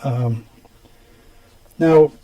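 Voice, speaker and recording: A man's voice: medium pitch, drawn-out words, lo-fi sound. A man hosting a community TV interview, asking rambling questions and backing the guest with frequent mm-hmms. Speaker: TV show host. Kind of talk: studio interview. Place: TV studio.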